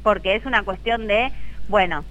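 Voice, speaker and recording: A woman in her thirties with an Argentine accent, a guest on a radio interview, talking earnestly about her work with many quick agreeing "sí, sí"s. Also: medium pitch, a bit bright, muffled recording. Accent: Argentine Spanish. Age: 30s. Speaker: guest, embalmer.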